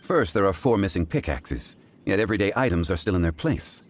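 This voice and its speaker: An actor playing a calm, erudite gentleman investigator in a video game, speaking in a measured, formal way. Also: low voice, a little hiss or hum. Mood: composed. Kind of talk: video game character acting.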